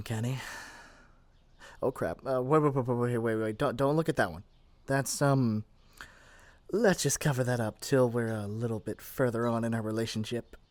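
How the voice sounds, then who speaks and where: A man's voice: mid-range voice, no echo, natural voice. A man playing a lovestruck, possessive young male witch in an ASMR roleplay, chatty and gushing with flustered hesitations. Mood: wistful, adoring. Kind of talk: ASMR roleplay addressed to the listener.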